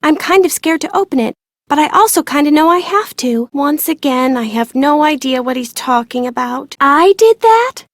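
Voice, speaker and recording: A woman's voice: high voice, expressive, normal speed, no echo, clean studio sound. An actress playing a glum, guarded young woman in a video game, plain-spoken and self-deprecating. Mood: shaken, remorseful. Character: withdrawn, glum young woman haunted by childhood loss.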